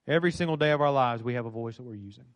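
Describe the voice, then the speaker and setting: A middle-aged man preaching a sermon in a church, with a dynamic delivery that swings from loud to soft. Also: medium pitch, rapid-fire. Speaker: pastor. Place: church sanctuary.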